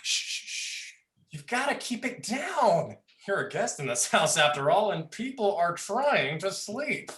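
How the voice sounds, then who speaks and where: A man's voice: sits high, big pitch swings, unhurried, a bit bright, echoey room, heavily acted. A man playing a leering, sadistic twin brother in a horror play, mocking and taunting. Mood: taunting menace. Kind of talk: dramatic livestream script reading.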